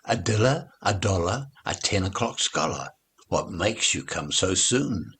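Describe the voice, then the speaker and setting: A man reading nursery rhymes aloud as a storybook recitation, in a measured, rhythmic delivery. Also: deep voice, big pitch swings, a bit bright, slightly rough.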